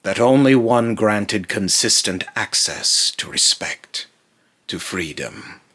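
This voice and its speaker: A man voicing a brooding otherworldly being in a slow, halting, grave monologue, raspy and breathy, warning darkly about mortals. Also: fairly low pitch, expressive, fairly bright tone, exaggerated voice. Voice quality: raspy, breathy, lapsing into harsh whisper. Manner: slow, halting, repeats phrases, ominous and grave. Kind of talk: dark dramatic monologue.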